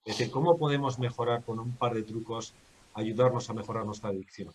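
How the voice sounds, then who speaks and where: A man's voice: mid-range voice, a little fast, darkish tone, husky. A man from Guadalajara with a Castilian Spanish accent, interviewing a guest and asking questions in a conversational, affirming way. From Spain, Guadalajara. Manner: affirming, tags questions with "¿vale?".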